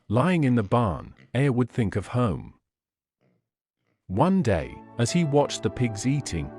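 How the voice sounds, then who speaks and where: A man narrating a scripted folktale in a dramatic, measured storytelling manner. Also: mid-range voice.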